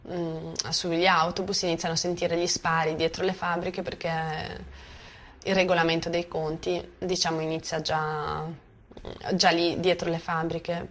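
A woman gives an unscripted account in a slightly low voice. She is hesitant, pausing often to search for words and restart her sentences. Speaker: witness giving testimony. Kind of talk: spontaneous spoken testimony.

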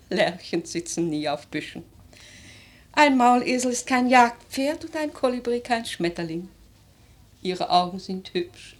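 A woman playing an aristocratic old grandmother in a German radio drama, speaking in a theatrical, measured, lyrical way, worldly and imperious. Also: mid-range voice. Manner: theatrical, measured, lyrical, with emphatic swells and hushed phrases.

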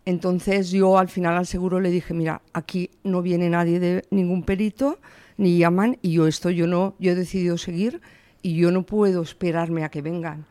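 A woman's voice: lowish voice, fairly even intonation, moderate pace, mellow, no echo. A woman in her sixties from the Valencia region, a shop owner answering interview questions in an earnest, emphatic way. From Valencia region, Spain. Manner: earnest, emphatic, quotes her own words.

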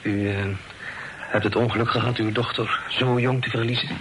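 An actor in a period radio play, voicing an earnest, courteous young gentleman with a polite, gently probing manner. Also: mid-range voice, level tone, moderate pace, lightly acted. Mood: sympathetic. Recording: noisy old radio drama recording.

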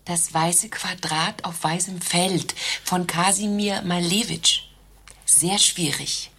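A woman's voice: on the low side, fairly even intonation, takes it slowly, pushed and bright, breathy edge, exaggerated voice. A woman playing a prim, devoted and self-important doctor's receptionist who lectures patients in a satirical radio play. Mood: reassuring.

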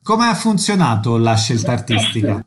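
A man's voice: medium pitch, sing-song, moderate pace. A man hosting a radio programme, presenting guests and putting questions to them. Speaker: radio host.

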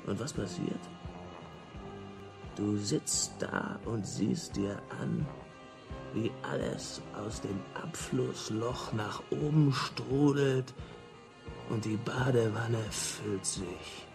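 A man's voice: on the low side, takes it slowly, gravelly, music in the background. An actor playing a laid-back man who patiently and insistently explains himself in radio play dialogue.

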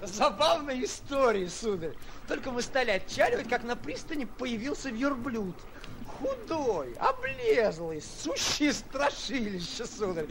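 Very high-pitched, fawning chatty waiter. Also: male voice, huge pitch swings, unhurried, heavily acted. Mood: gossipy, storytelling. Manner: servile, deferential, constantly addressing his superior politely. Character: obsequious, chatty, gossipy male hotel and ship waiter. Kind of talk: 1940s theatrical radio acting. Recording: old 1940s radio recording, faint noise.

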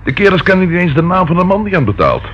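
An actor in a radio play as an eager police detective, informal, chatty and dryly humorous. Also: male voice, sits high, quick pace. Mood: breezy, reporting. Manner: lively, informal, relishes reporting findings. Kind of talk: Dutch radio play acting. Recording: dull lo-fi old radio recording, faint noise.

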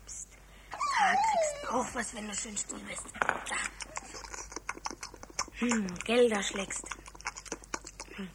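A woman's voice: a little high, very noisy. A woman playing an innocent, greedy little schoolboy with a Bavarian accent in a children's radio play. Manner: childlike, pleading, protesting.

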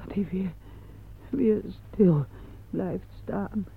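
An actress in a radio play, playing a frail, timid and anxious elderly woman who stammers, pleads and repeats her words in a husky, breathy voice. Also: mid-range voice, very slow, slight background noise. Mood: despairing, exhausted. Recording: muffled, narrow-band old radio play.

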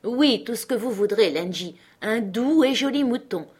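A woman's voice: medium pitch, moderate pace, close to an everyday voice. A woman voicing a fussy, grumbling housemaid who scolds and exclaims, in a storybook reading. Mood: placating.

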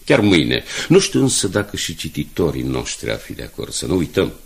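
Gravelly, deep-voiced, proud middle-aged newspaperman. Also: wildly swooping pitch, full character voice. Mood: proud, weary. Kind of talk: 1980s Romanian radio drama acting.

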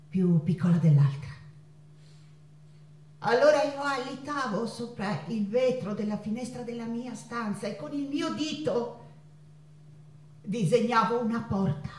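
An actress playing an intense, nostalgic woman painter in a theatrical monologue, speaking haltingly with deliberate pauses and repeated words. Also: medium pitch, a little mellow, close to an everyday voice. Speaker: stage actress. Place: theatre stage. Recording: roomy distant stage recording with guitar. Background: acoustic guitar music.

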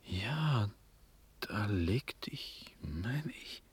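An actor playing a dazed young prince in a classical verse radio drama, halting and breathy, then fervently declaiming. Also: male voice, on the low side, sing-song, exaggerated voice. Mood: dazed, whispering. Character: dazed, dreamy young German prince and cavalry officer, rapturous.

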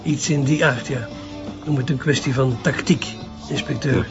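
An actor playing a smooth-talking, evasive activist journalist with a Flemish accent, articulate and slightly condescending, in a radio drama. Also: male voice, mid-range voice, steady tone, normal speed, close to an everyday voice. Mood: self-assured. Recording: slightly dull lo-fi audio, music behind.

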